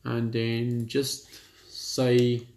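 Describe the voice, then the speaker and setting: A man's voice: mid-range voice, completely flat delivery, very slow, a little mellow, roomy sound. A man who hosts a gaming channel, reading a visual novel's text aloud and chatting between passages, casual and stumbling, with the odd offhand swear.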